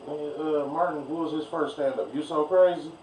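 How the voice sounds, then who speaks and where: A man's voice: on the high side, steady tone, fairly quick, a little mellow. A stand-up comedian in his thirties in casual podcast banter, emphatic and profane, repeating his points to drive them home. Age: 30s. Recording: roomy, distant mic, faint noise.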